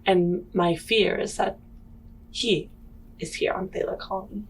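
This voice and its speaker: A woman roleplaying a grave, haunted mother among spacers in a tabletop game, speaking in a halting, solemn way. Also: medium pitch, fairly even intonation, a little hiss or hum, natural voice, rough recording. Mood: grave, fearful.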